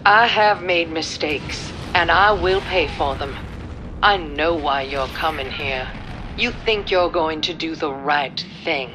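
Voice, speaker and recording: A woman playing a defeated, defiant middle-aged military general in a video game, speaking in a measured, grave way. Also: deep voice, noisy background. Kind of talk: dramatic video game acting.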